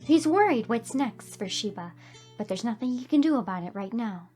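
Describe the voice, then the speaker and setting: A woman's voice: a little high, animated, moderate pace, mellow, faint music behind. A woman hosting a gaming video, reading story narration expressively and adding emotive, reactive commentary. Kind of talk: expressive game read-aloud with commentary. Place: voice-over on gameplay with game music behind.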